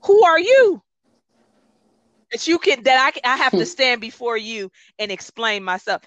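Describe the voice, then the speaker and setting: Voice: medium pitch, very animated, unhurried, a bit bright, dry recording. An interview guest whose first language is Gullah, speaking in a passionate, emphatic and reflective way. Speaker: guest, consultant. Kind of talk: conversational interview.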